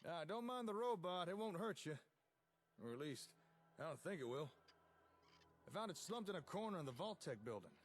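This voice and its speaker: A man's voice: high-pitched, big pitch swings, normal speed, long pauses. A man playing an easygoing, enthusiastic settlement tinkerer, chatting and explaining in a folksy way in video game dialogue. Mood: casual, wry.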